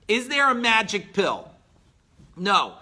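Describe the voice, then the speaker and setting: A man in his forties giving a blunt, emphatic motivational talk as a business coach on a live stream, clearing his throat now and then. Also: high voice, huge pitch swings, slow, sharp tone. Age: 40s.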